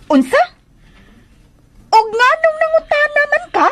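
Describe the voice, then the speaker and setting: Bossy rich filipina young lady, radio drama, super high n animated, curt orders. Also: unhurried, over music, heavily acted. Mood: scornful. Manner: imperious, curt. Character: bossy, haughty young mistress of the house. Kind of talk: Philippine radio drama acting.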